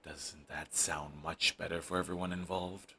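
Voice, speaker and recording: A man's voice: low voice, little pitch movement, moderate pace, vocal fry, sharp tone, a little hiss or hum, exaggerated voice. A man voicing a grumpy, sarcastic male supervillain who dismisses an old rival in an audio roleplay drama. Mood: irritated. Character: snarky, grumpy male supervillain, middle-aged. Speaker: voice actor.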